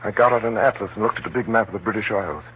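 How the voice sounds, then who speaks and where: A man plays a resourceful adventurer recounting danger in a low, grave voice. The narration is measured and suspenseful, in 1930s radio acting style, with a tinny band and light hiss. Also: natural voice. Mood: purposeful, hushed. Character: resourceful South African adventurer recounting his peril, grave. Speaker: narrator and lead actor.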